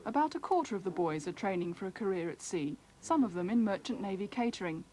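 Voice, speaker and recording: A woman's voice: medium pitch, animated, fast talker, warm tone. A British woman reading scripted documentary narration as a news reporter. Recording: faintly noisy archive newsreel.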